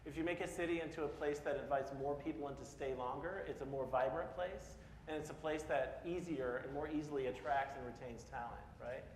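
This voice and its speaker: A man in his forties from Peoria, Illinois, giving a casual, self-deprecating conference talk and asking the audience questions. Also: on the high side. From Peoria, Illinois (US Midwest). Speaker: speaker at a public talk. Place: large hall in front of an audience. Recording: echoey hall with faint noise.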